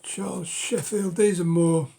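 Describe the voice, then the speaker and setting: A hoarse middle-aged man with a cold, ambling slowly through a casual chat and pausing on every um. His voice is gravelly and creaky, and it often sinks to a breathy whisper. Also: sits high, fairly even intonation, warm tone. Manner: halting, many ums and uhs, drops to a whisper. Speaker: book collector vlogger. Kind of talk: casual show-and-tell of books.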